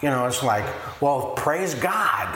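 Slow-talking man telling a story, echoey room. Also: medium pitch, sing-song, sharp tone. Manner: slow, chatty, affirming with many yeahs. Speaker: ministry guest. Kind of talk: casual storytelling.

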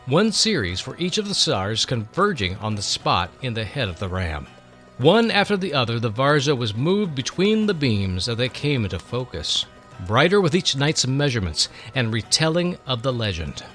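A man's voice: medium pitch, sing-song, normal speed, a bit bright, faint music behind. A man narrating a scripted story in a dramatic reading style.

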